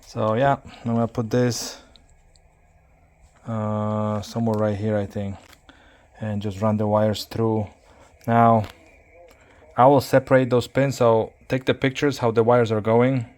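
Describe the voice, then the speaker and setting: A man giving a casual hands-on tutorial, speaking haltingly as he thinks aloud while working. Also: lowish voice, a little mellow. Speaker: CB radio hobbyist demonstrating an installation.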